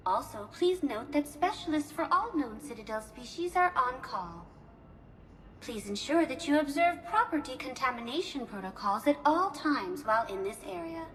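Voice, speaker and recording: A high-pitched female hospital receptionist from a video game, with a lively, swooping melody. She is polite and efficient, laying out procedures in formal, precise phrasing. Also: moderate pace. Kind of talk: scripted informational game dialogue.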